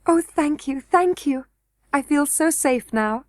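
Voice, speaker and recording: A woman's voice: sits high, animated, moderate pace, darkish tone, no echo, voice pushed up. A woman voicing a tearful, earnest little girl princess who pleads and sounds close to tears. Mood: soft. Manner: pleading, breathless and eager.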